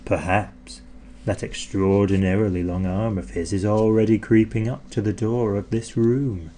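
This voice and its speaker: A man narrating a children's story in an expressive, theatrical way, drawing out words for effect. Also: lowish voice, normal speed, slight background noise. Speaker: audiobook narrator.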